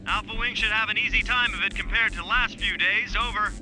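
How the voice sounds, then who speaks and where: Businesslike police radio control guy in a game, highish voice, clipped, music behind. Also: quick pace, bright, forceful tone, rough recording. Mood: brisk, businesslike. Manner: procedural, crisp. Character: brisk, businesslike male police radio dispatcher.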